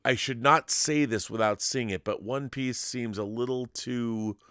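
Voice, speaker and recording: Guy, middle-pitched voice, rambling excitedly on a casual stream, really dry sound. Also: unhurried, clean tone, dry recording. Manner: enthusiastic, rambling. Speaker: live stream host. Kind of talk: casual chat, reading out viewer messages.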